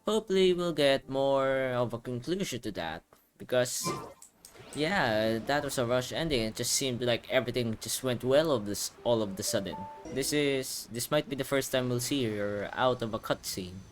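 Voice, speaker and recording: Casual male playthrough host, average pitch, loose thinking-aloud delivery, soft music underneath. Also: normal speed. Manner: informal, rambling, thinks aloud. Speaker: gaming video host.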